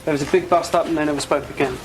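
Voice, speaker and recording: A man's voice: fairly high pitch, fairly even intonation, very fast, slight background noise, close to an everyday voice, poor audio. A man playing a youthful, earnest detective reporting facts in an audio drama. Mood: earnest, hesitant. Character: baby-faced young male detective, earnest, reporting facts. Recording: music behind the voice.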